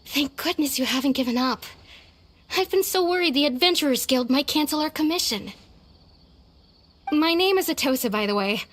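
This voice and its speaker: A young village woman with a high voice, earnest and anxious, speaking plainly in a video game scene. She pleads with a worried tone. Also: lively intonation, moderate pace, long pauses, a bit bright.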